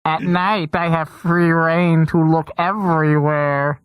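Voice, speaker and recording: A man voicing a sinister, leering, all-seeing shadow in a slow, theatrical parody of old radio drama. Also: on the high side, level tone, smooth, no echo, highs cut off. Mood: creepy, gloating. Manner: slow, drawn-out, theatrical delivery. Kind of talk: 1940s radio acting parody.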